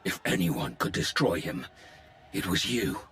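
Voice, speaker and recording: Menacing warlord, very low voice, unhurried deliberate threats, cold, video game villain. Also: male voice, big pitch swings, fairly bright tone, faint music behind, heavily acted. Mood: vengeful resolve. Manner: slow, deliberate, ominous threats. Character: menacing male Sith warlord, cold and vengeful.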